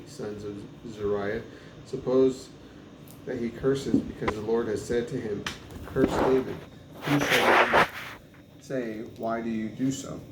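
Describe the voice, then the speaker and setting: Male Bible reader, even-toned and halting on names, recorded in an echoey room with noticeable background noise. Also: medium pitch, creaky. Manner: halting, stumbles over names and restarts. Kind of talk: reading scripture aloud.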